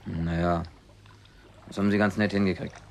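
An actor playing a stubborn, naive small-town man in radio drama dialogue, blunt and gruffly reproachful. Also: deep voice, level tone, normal speed. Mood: grudging. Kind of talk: naturalistic German radio play dialogue.

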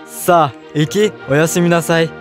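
Man doing a greedy bossy king, kinda high voice, light music behind. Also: fairly even intonation, measured pace, lightly acted. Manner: imperious. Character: greedy commanding adult male king. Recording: background music.

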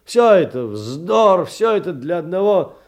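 An actor playing a poor, wounded clerk in a theatrical audio play, rambling indignantly in halting colloquial speech full of rhetorical questions. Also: male voice, high voice, big pitch swings, crowd noise, exaggerated voice. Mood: scornful, agitated. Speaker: stage actor.

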